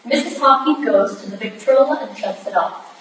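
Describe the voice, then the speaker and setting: A woman narrates stage directions from a script in a fairly high voice. She is recorded at a distance in a very echoey room, with noticeable noise behind her. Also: normal speed, a bit bright.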